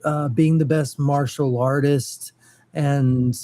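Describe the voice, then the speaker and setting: A man chatting casually about geek topics as a co-host, hesitant and pausing in the middle of phrases. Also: a little high, fairly even intonation, dark tone. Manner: hesitant, trailing, pauses mid-phrase. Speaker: podcast co-host.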